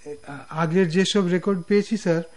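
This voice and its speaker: A man with a Bangladeshi accent playing a timid, deferential junior office clerk in a radio drama, fretful and excitable. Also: high voice, moderate pace, lightly acted. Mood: anxious.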